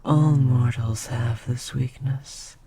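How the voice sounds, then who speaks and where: ASMR roleplay: ancient, motherly succubus queen voiced by a woman, slow and breathy, dark mellow tone. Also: a bass voice, fairly even intonation, slight background noise, heavily acted. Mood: teasing. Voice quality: breathy whisper. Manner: soft, slow, often whispering.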